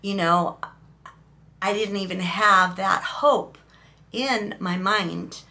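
A woman in her fifties, hoarse from a recent cough, chatting emotionally to camera in a small room, rambling with fillers. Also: mid-range voice, fairly even intonation, takes it slowly, bright, forceful tone. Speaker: vlogger. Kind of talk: casual talking-head vlog.

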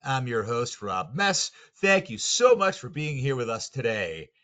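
A man hosting a webinar, reading a scripted introduction in a lively, announcing manner. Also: medium pitch, unhurried. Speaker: webinar host.

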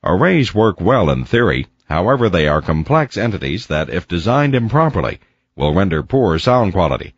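Male instructional narrator, low register, measured and didactic, very dry voice-over with slightly muffled sound. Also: very animated, slightly rough, dry recording. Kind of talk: scripted educational narration. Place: close, dry voice-over recording.

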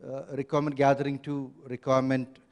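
A man's voice: mid-range voice, monotone, fast talker. A man on a conference panel giving firm, advisory points with frequent restarts, speaking into a handheld mic in a large hotel hall.

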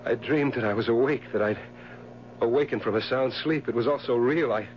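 A man plays a nerve-racked, obsessive husband in a seventies radio play. His delivery is halting and melodramatic, repeating itself as if haunted. The recording is muffled with some hiss. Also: medium pitch, lightly acted. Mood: shaken, dread. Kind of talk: 1970s radio drama acting. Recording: muffled, hissy 1970s radio broadcast recording.

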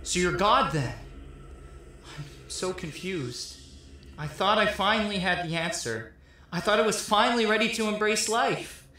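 A man's voice: sits high, measured pace, sharp tone. Anguished newly dead youth, male actor, tense and questioning, theatrical dialogue, strong echo and faint noise. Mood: bewildered. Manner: questioning, plaintive. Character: confused, anguished young man newly dead. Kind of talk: stage-style drama dialogue. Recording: very echoey room, faint noise.

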